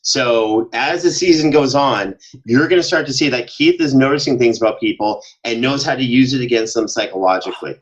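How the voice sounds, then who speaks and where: A man speaking as a podcast guest in casual interview chat, telling anecdotes in an animated way and acting out exchanges. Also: mid-range voice, brisk, few pauses, poor audio. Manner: animated storyteller, acts out quoted exchanges. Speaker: podcast guest, audio drama producer.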